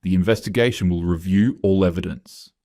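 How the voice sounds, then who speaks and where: Man playing officious HR woman in a story, deep register, clipped, sure of herself. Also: brisk, mellow, no room sound, clean studio sound. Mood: cold, official. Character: self-assured, cold female human resources investigator.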